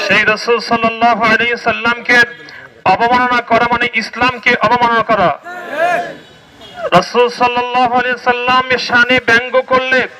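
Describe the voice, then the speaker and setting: A man's voice: very high voice, low-quality recording. A Bangladeshi man giving an impassioned religious protest speech as a cleric, declamatory and exhorting, with rhetorical questions and repetition. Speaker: Islamic cleric addressing a protest rally.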